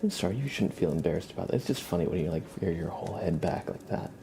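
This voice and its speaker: A man hosting a casual ASMR livestream, chatting to viewers in a soft, breathy, whispery voice with an unhurried, rambling manner. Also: low-pitched, animated, noisy background. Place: room at home with a window.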